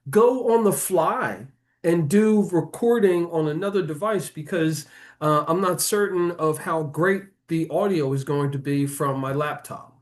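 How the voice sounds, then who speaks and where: A man hosting a conversational interview, announcing things in a deliberate, wordy way. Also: a little high. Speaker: interview host. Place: remote call, recorded on a backup device.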